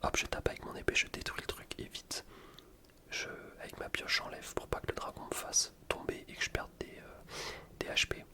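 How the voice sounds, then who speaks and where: A man speaks entirely in a whisper, as in an ASMR gaming video. He comments on gameplay in casual slang, rambling from one explanation to the next. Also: normal speed.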